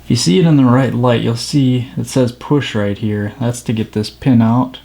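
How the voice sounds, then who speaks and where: A man's voice: medium pitch, fairly even intonation, normal speed, gravelly, warm tone. A man giving casual, informal hands-on commentary, thinking aloud as he works. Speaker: watch restoration video host.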